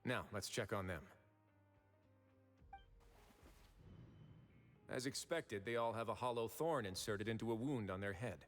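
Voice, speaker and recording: Man acting authoritative duke, low voice, measured and articulate, shrewd investigator, video game, music behind. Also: close to an everyday voice. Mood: grave.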